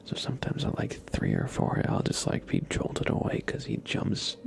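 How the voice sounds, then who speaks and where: A man hosting an ASMR livestream, chatting casually and gently to viewers in a soft breathy whisper, close to the microphone. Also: moderate pace, slight background noise. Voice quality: breathy whisper with heavy fry.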